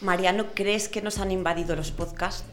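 A woman's voice: mid-range voice, steady tone, moderate pace, faint music behind. Woman hosting a community radio show. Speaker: radio host.

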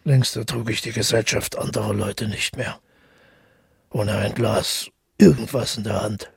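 An actor playing a withdrawn, middle-aged widower who tells his story in a radio drama, husky and gravelly, reflective and measured. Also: lowish voice, fairly even intonation, no room sound, clean studio sound, exaggerated voice. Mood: bitter, confessional. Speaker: narrator and protagonist. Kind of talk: literary radio drama monologue.

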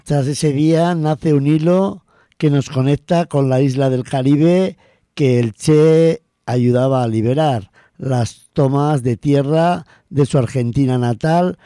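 A man's voice: medium pitch, slow pace, mellow, no echo. Male radio host over music. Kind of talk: scripted radio narration.

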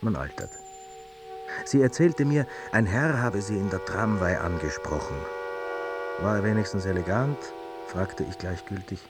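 An aging male poet, played by a man, recites in a fairly low voice that swings widely in pitch. He is ironic, irritable and emphatic, and music plays under his words. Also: lively intonation, unhurried. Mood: dry, brooding. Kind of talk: dramatic literary recitation of Viennese miniatures.

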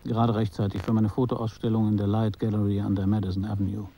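A detached photographer narrating a literary radio drama. His voice is very dark and muted, with a husky grain. He recounts things in a measured, matter-of-fact way, watching more than feeling. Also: fairly low pitch, flat delivery. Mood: detached, reflective. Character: detached, observant male art photographer recounting his encounters. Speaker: narrator and main character of a radio play.

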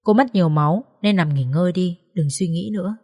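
A woman reading a story aloud, voicing a calm, kindly nurse who speaks gently. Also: lowish voice, a little fast, breathy edge. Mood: soothing. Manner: soothing, gentle.

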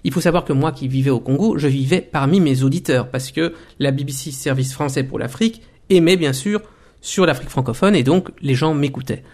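A man in his forties, a documentary maker and former journalist, telling his story in a radio interview with thoughtful pauses and restarts. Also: fairly high pitch, darkish tone. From Belgium. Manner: thoughtful, many hesitations and restarts.

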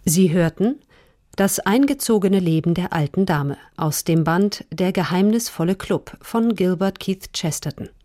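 A female radio announcer with a very mellow, slightly low voice reads formal credits. The delivery is measured and scripted, and the sound is dry and close. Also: lively intonation, no room sound, faint music behind.

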